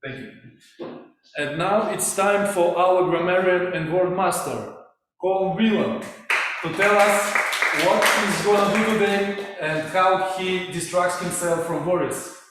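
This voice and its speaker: A man's voice: high-pitched, takes it slowly. A man with a Russian accent hosting a speaking club meeting, courteous and playful as he hands over the floor. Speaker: meeting host. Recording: distant, long-reverb hall sound with faint noise.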